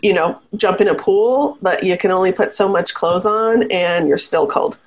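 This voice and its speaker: A woman's voice: on the low side, moderate pace. A woman from the Chicago area, a personal growth coach, giving easygoing, short answers as a guest on a podcast. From Northern Illinois, Chicago area. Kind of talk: casual interview chat.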